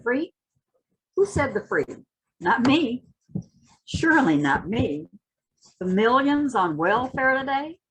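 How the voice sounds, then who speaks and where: A woman giving a dramatic, impassioned poetry reading, with emphatic, deliberate phrasing and a husky voice. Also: on the high side, big pitch swings, a bit bright, rough recording. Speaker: reader at a community literary read-in. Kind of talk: introduction and dramatic poetry recitation.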